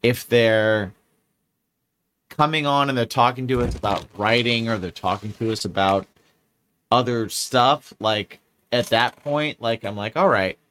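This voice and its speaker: A man co-hosting a casual podcast, talking through his thoughts out loud. He rambles, restarts his sentences and swears freely, in a relaxed everyday tone. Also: mid-range voice, a little slow, slight background noise. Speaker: podcast co-host. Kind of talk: casual chat.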